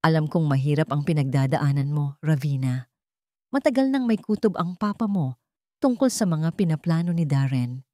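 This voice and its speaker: A woman's voice: sits low, lively intonation, normal speed, mellow, no room sound, studio quality. A woman voicing a grave old male lawyer. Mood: grave, sympathetic.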